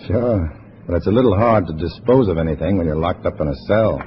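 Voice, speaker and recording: An actor playing a cool undercover American agent posing as a comrade, colloquial and composed, in 1950s radio drama style. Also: male voice, low-pitched, a little fast, close to an everyday voice. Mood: conspiratorial. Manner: colloquial, hard-boiled, composed. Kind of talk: 1950s radio drama acting with first-person narration. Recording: dull, noisy vintage radio recording.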